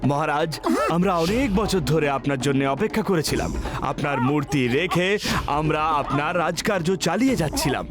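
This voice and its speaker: A man's voice: on the high side, lively intonation, brisk, music in the background, natural voice. A man voicing a fervent, reverent tribesman in a children's comedy cartoon, declaiming to his king. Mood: solemn, reverent.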